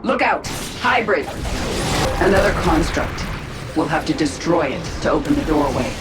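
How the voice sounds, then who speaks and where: A woman's voice: deep voice, animated, moderate pace. An actress voicing a confident, sardonic alien queen in a video game, with a dry, casual delivery. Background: loud game music.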